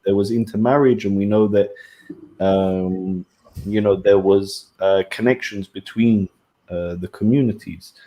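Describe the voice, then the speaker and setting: Young man, low warm voice, measured earnest talk, casual panel, video call. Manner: measured, earnest, repeats words. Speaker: podcast panelist. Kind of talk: casual discussion.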